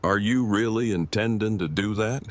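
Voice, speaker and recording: A male actor plays a pedantic, devoted groom in an audio drama. His voice sits fairly low. He talks in long, formal, stilted sentences, choosing elaborate words for simple things. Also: normal speed, close to an everyday voice. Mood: earnest, curious. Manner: stilted, wordy, formal phrasing.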